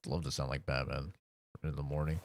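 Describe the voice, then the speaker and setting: A man streaming a game, talking casually with a raspy, deeper-than-usual morning voice, rambling with lots of "like" and "man". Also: little pitch movement, a little fast, a little hiss or hum. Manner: rambling, filler-heavy, says "like" and "man" often. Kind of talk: casual gameplay livestream commentary.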